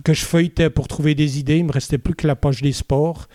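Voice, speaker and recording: Brief: musician guest, man, Vaud Swiss accent, mellow, dry close mic, rambling with lots of fillers. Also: fairly high pitch, fairly even intonation, a little fast, no echo. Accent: Vaud Swiss French. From Switzerland. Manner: hesitant, many "euh" fillers, chatty storytelling. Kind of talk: casual interview chat.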